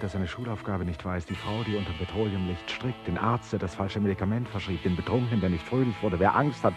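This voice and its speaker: An actor playing an anxious, reflective traveller who recounts his jungle journey in a literary radio play. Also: close to an everyday voice. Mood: fearful, insistent. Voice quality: very low-pitched.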